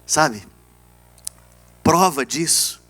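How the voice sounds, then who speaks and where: A male Brazilian pastor delivers a sermon in a high, bright, forceful voice. His pitch swings widely as he drives key phrases home by repeating them. He addresses his congregation directly and prompts them to answer. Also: lively intonation, drawn-out words. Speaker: pastor preaching. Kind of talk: evangelical sermon.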